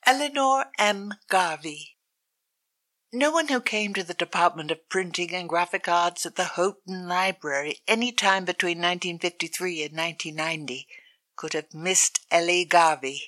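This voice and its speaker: A woman reading a written obituary aloud in a measured, even way. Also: fairly low pitch. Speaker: reader.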